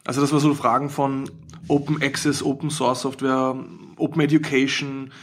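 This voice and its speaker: A man's voice: mid-range voice, level tone, normal speed. A man with an Austrian accent, an academic guest explaining his work conversationally in an interview.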